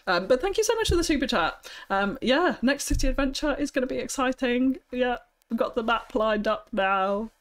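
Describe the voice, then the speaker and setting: A young woman with a high-pitched voice chatting on a live stream, bubbly and giggly, often thanking viewers. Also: animated, normal speed. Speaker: streamer building a city. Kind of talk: casual live-stream chat.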